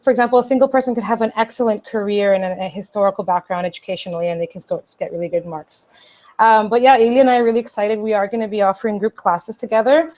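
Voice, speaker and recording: A woman English teacher, a native Portuguese speaker, giving lively, encouraging exam advice on a video call. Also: medium pitch. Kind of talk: casual webinar advice.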